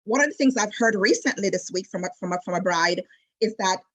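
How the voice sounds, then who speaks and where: A woman in her late forties with a Jamaican accent, a wedding travel specialist chatting as an interview guest in a lively storytelling way, with many fillers and restarts. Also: medium pitch, brisk, husky. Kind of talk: casual interview chat.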